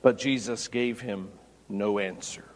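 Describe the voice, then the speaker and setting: A man's voice: lowish voice, fairly even intonation, measured pace. Man preaching in a reverberant hall. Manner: expository preaching, raises voice for quoted lines. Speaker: pastor. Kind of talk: sermon.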